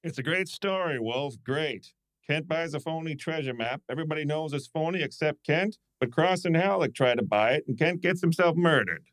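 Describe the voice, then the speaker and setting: A man playing a gruff, stubborn police inspector, blustery and stammering, in an old-time radio drama style. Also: a little high, animated, moderate pace, fairly bright tone, no echo, poor audio. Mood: sarcastic.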